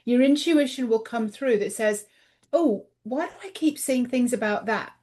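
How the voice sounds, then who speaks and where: A woman's voice: a little high, normal speed, darkish tone. A middle-aged woman, a guest author in a casual podcast interview, talking earnestly and voicing her own inner thoughts aloud.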